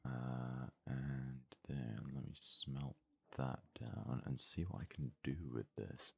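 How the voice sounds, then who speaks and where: A man doing hushed ASMR gaming commentary with a gravelly, husky voice, casually musing aloud close to the microphone. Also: rumbling low, fairly even intonation, slow. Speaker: let's play host.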